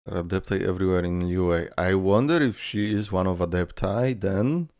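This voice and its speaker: A man's voice: sits low, normal speed, a little mellow, no echo, clean studio sound. A man giving casual, playful reaction commentary with swearing, his voice tired and strained from a lot of talking. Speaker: reaction video host.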